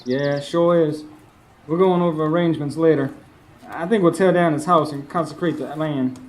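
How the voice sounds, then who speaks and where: A man playing an earnest young stable hand in a radio play. His voice sits fairly high and carries a weary sincerity as he tells his tale. It was taped live on stage with faint background noise. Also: fairly even intonation, normal speed, close to an everyday voice, poor audio. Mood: gentle. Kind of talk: radio drama narration and dialogue.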